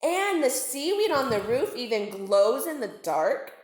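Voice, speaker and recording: A woman's voice: sits high, sing-song, unhurried. A woman voicing a young female narwhal, a house-proud sister in a storybook with girlish, image-conscious slang. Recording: echoey room.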